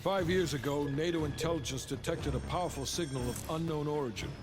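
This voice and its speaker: An actor playing a pragmatic intelligence officer who briefs a covert team in a matter-of-fact, clipped way in a video game. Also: male voice, fairly high pitch, fairly quick, lightly acted. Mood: matter-of-fact briefing. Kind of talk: cinematic video game acting. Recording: loud music under the voice.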